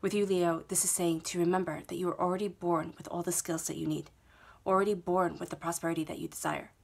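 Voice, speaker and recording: A woman's voice: fairly low pitch, steady tone, fast, gravelly, a little mellow. A woman with a head cold giving a tarot reading at a table, talking in a soothing, reassuring way and often checking in with "okay?". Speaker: tarot reader. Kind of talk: monthly tarot reading to camera. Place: indoors at a table, reading laid-out cards.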